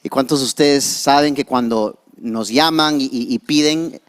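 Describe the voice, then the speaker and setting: A young man, whose first language is English, preaches a church sermon. He keeps things conversational with asides and frequent restarts. His voice sits at an ordinary middle pitch for a man. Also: measured pace. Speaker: pastor.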